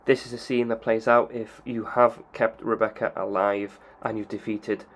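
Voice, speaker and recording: A man's voice: lowish voice, level tone, normal speed, highs cut off, faint music behind. A man hosting a gaming channel, explaining in a plain way how game cutscenes are triggered.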